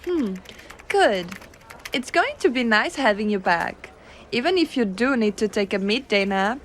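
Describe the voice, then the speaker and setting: A woman playing a gentle, teasing giantess secretary in an ASMR roleplay, coaxing and cooing softly. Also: a little high, lively intonation, a little hiss or hum. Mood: gentle, attentive. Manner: soft coaxing, cooing, unhurried.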